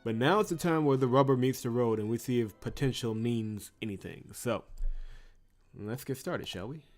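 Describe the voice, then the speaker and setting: A man's voice: medium pitch, normal speed, darkish tone. A man giving casual playthrough commentary with wry, teasing asides and reading narration aloud. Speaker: let's-play host. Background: game music.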